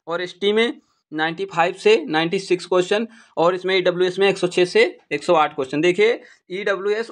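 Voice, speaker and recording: High-pitched man explaining to students. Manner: repeats points for emphasis. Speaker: exam-prep YouTube teacher. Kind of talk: informal explainer addressing students.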